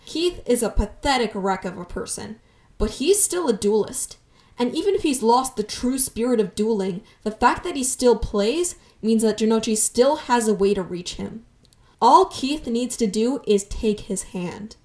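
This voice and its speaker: A woman's voice: a little high, expressive, normal speed, a little mellow. A woman in her twenties narrating a personal video essay as channel host, earnest and sentimental with wry, self-deprecating asides. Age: 20s.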